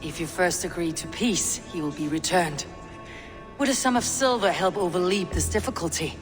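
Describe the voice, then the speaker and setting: An actress playing a steady, diplomatic Viking warrior in a video game, measured and direct. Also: female voice, fairly low pitch, lightly acted. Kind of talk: dramatic video game acting. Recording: long hall reverb, music underneath.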